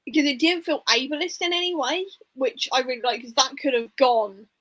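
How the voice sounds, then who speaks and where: A woman's voice: sits high, moderate pace, a bit bright. A woman hosting a casual book discussion, speaking informally and giving her opinions with plenty of hedging. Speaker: book discussion livestream host.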